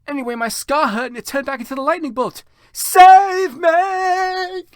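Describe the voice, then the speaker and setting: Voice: high voice, fairly even intonation, a little slow, dry recording, clean studio sound, pitched above the performer's own voice. A reader voicing a panicked teenage vampire boy wizard in a whiny, frantic manner. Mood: wailing agony.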